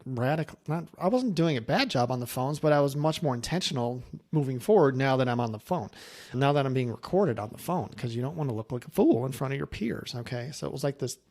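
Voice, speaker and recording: A man's voice: medium pitch, darkish tone. A man speaking casually as a coach, sharing anecdotes and practical advice in a conversational way. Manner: conversational, anecdotal, acting out quoted remarks. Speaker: insurance agency coach and presenter. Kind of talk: casual coaching talk to camera.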